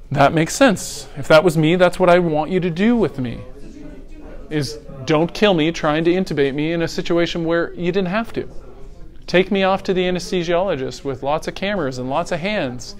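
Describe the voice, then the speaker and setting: A middle-aged man, a paramedic educator, giving a conversational lecture that walks through scenarios and asks the audience rhetorical questions. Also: on the high side. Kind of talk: teaching lecture on airway decisions.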